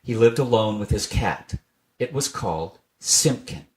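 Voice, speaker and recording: Man, fairly low voice, storybook narrator, reading a children's tale. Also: animated, unhurried, darkish tone. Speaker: storybook reader.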